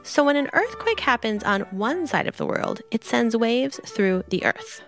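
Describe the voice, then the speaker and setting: Woman, podcast host, scripted kids' science narration, very animated pitch, faint music behind. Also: a little slow. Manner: bright explainer, playful analogies.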